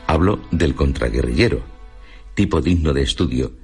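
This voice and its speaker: An actor playing a sombre teller of sinister tales, emphatic and portentous in radio style. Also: male voice, rumbling low, animated, moderate pace, slightly rough, heavily acted. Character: sombre male storyteller and presenter of sinister tales. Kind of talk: dramatised radio storytelling. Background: faint background music.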